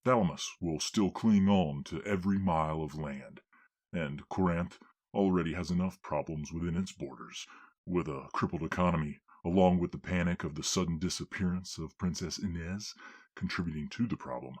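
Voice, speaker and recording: A man playing a formal lord in an audio drama, speaking in a measured, authoritative way. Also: deep voice, darkish tone.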